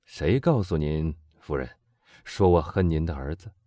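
A man doing a vengeful nobleman, calm and bitter, very deep, dark tone, audiobook. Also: measured pace, no echo, clean studio sound, heavily acted. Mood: cold. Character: cold, vengeful middle-aged nobleman.

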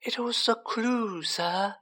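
A little male elf servant from a storybook, squeaky and pitched far up. He draws his words out slowly, with wide-eyed devotion and earnestness. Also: fairly bright tone. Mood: earnest. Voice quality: shrill, squeaky. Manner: slow, drawn-out, wide-eyed, speaks of himself in third person.

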